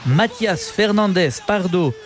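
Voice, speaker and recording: A man narrating a scripted sports news report in a lively, playful way. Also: high voice, slow pace, very noisy.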